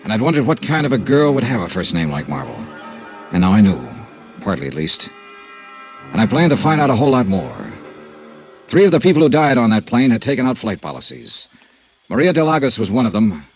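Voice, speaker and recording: An actor playing a hardboiled American insurance investigator who narrates in first person and talks in a terse, matter-of-fact way, in a 1950s radio drama. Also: male voice, medium pitch, big pitch swings, brisk, lo-fi sound. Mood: steady. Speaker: narrator and lead detective. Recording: old radio transcription with music bed.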